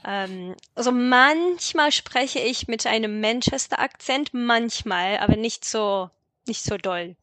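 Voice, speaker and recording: English woman speaking learned German, lightly high. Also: slow, fairly bright tone, no echo. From England. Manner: anecdotal, laughs often, simple phrasing as a learner. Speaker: guest, language teacher. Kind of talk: casual interview chat.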